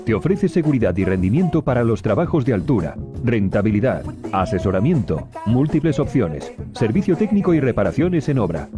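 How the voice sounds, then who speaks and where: Animated Spanish male advert announcer over music. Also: mid-range voice, fairly quick. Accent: Spanish, from Spain. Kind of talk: scripted radio advert.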